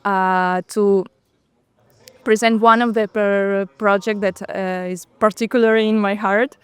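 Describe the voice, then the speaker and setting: Russian-born woman talking slow and unsure, lots of ums, very clean dry sound. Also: medium pitch, fairly even intonation, clear, resonant tone, no echo. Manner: hesitant, many fillers and restarts, soft laughs. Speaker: architect, interview guest. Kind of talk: casual interview conversation. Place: outdoors on a bench at a city event.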